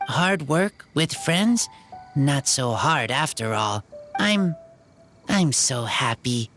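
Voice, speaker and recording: A woman voicing an earnest teenage boy raised in the wild for a video game, talking in plain, halting short phrases. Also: rumbling low, expressive, fairly bright tone, faint music behind, heavily acted. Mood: moved, happy.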